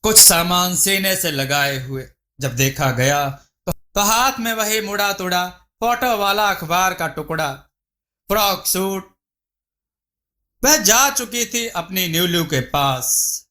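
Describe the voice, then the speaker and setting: A man narrating a scripted moral story as a storyteller, in a measured, dramatic manner. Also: high voice, expressive, sharp tone.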